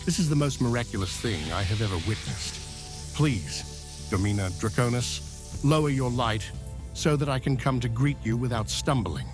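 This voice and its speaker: A man playing a grand, excitable Roman emperor in a fantasy audio drama, given to flustered stammering. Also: mid-range voice, moderate pace, natural voice. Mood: grand, delighted. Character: excitable, easily swayed male Roman emperor. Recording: faint music underneath. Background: music.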